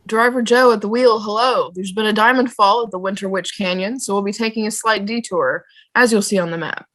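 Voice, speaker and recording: A woman's voice: mid-range voice, brisk, a bit bright, natural voice. A woman playing a courteous shuttle driver giving a scripted announcement in a fan table read. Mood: genial, official. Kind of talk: fan table read over video call.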